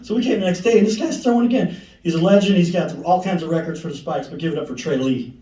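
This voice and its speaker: A man's voice: on the high side, fast talker, background noise. A man hosting an induction ceremony, rambling and emotional, with laughing asides. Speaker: master of ceremonies. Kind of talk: speaking at a banquet podium. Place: large echoey banquet hall. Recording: roomy hall, voice from far off.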